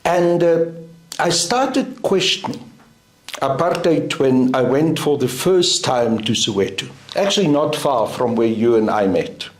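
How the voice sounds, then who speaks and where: A middle-aged South African man with an Afrikaans first language speaks slowly and deliberately, leaning on each point. His voice is mid-pitched and recorded in a roomy, echoing space. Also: very animated. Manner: deliberate, emphatic, measured. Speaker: guest. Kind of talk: interview answer. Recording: roomy echo.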